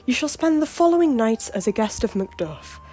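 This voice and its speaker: A woman's voice: a little high, very animated, brisk, soft background music. A woman playing a bitter young prince. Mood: cold. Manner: scornful, sharp-tongued. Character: young male Scottish prince claiming the crown, bitter and distrustful. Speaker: voice actor. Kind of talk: fan audio drama acting.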